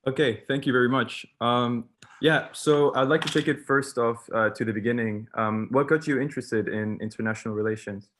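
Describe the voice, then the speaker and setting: A man's voice: medium pitch, fairly even intonation, moderate pace, a bit bright. A young man hosting a university online event and interviewing a guest, speaking hesitantly with many fillers. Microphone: video call.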